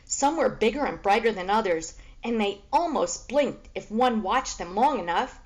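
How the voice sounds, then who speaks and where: A woman reading a children's book aloud as expressive storybook narration. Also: medium pitch, normal speed, rough recording.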